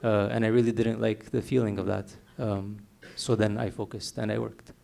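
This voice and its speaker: A young man from Canada whose first language is Armenian, answering thoughtfully on a panel with many pauses and ums. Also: fairly low pitch, level tone, gravelly, warm tone, slight background noise.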